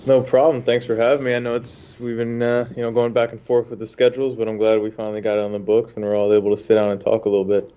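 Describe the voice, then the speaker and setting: A man's voice: fairly low pitch, level tone, fast, few pauses, dark tone, smooth, highs cut off. A young man from the United States, a soccer goalkeeper, telling his story in a casual interview with many fillers and restarts.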